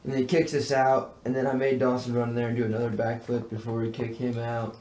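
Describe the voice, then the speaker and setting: A man's voice: medium pitch, completely flat delivery, fast, darkish tone. A man casually telling stories to his friends in slangy, sweary bro talk. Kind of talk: casual storytelling among friends. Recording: echoey room, clearly noisy.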